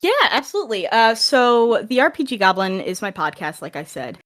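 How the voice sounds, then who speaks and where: A woman's voice: medium pitch, sing-song, fairly quick, a bit bright. A woman talking casually and chattily as a guest on a podcast. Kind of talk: casual chat.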